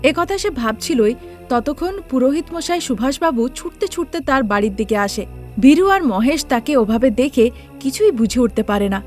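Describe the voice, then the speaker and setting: A woman's voice: high-pitched, expressive, normal speed, darkish tone. A woman narrating a scripted cartoon story as a storyteller. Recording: music bed under the voice.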